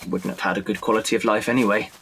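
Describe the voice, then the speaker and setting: A man playing a weary Victorian father who stoically buries his grief in an audio drama, halting and subdued. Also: on the low side, fairly even intonation, vocal fry, a bit bright, a little hiss or hum, low-quality recording. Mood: weary, resigned.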